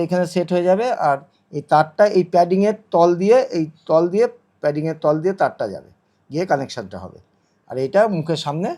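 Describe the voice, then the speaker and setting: Higher-pitched man giving hands-on tutorial. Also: mellow. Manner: instructive, repeats phrases while demonstrating. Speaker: vlogger demonstrating product installation. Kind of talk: casual hands-on tutorial narration.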